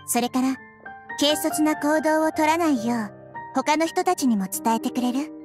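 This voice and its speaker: A woman voicing a small childlike goddess in an anime game, composed, polite and gentle. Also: sits high, moderate pace, close to an everyday voice. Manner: polite, composed, softly reassuring. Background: game music.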